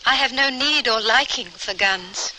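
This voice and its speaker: A woman's voice: on the high side, measured pace, close to an everyday voice. An actress playing a polite, composed lady traveler of the Old West in an old-time radio drama. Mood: gracious, relieved. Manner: polite, proper, earnest. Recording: noisy old radio broadcast recording.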